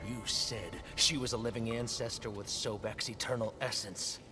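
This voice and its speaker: An actor voicing an ambitious, commanding male military leader in a video game, talking in a grand, forceful way over game music. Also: on the low side, fairly even intonation, moderate pace, natural voice. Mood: urging.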